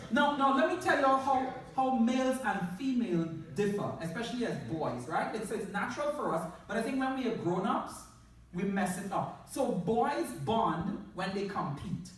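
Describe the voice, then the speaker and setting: A man's voice: very high-pitched, moderate pace. A man with a Trinidadian accent gives a humorous talk to a live audience, laughing often and telling stories with lots of animation. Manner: laughs often, animated storytelling, asks the crowd. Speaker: motivational speaker. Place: large hall in front of an audience. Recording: echoey hall, voice from far off.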